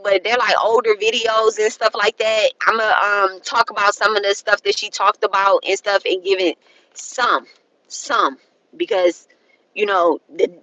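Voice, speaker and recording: Woman, 30s, bright and close-miked, dry sound; rambling casual spiritual talk, lots of "okay?" and blunt swearing. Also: medium pitch, no echo, rough recording. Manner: rambling, repeats herself, adds "okay?" often; frank and profane, colloquial phrasing. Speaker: spiritual content creator.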